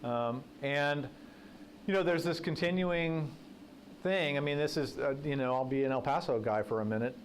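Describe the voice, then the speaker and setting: A man's voice: a little high, normal speed, slight background noise. A man who is a political journalist, giving a conversational talk to a breakfast audience in a folksy, anecdotal way with joking asides. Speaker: guest speaker, political journalist. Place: breakfast event room.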